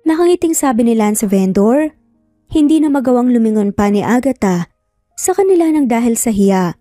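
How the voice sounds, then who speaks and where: A woman's voice: on the high side, expressive, normal speed, dark tone, dry recording, clean studio sound, soft background music. A woman storyteller narrating a scripted romance story.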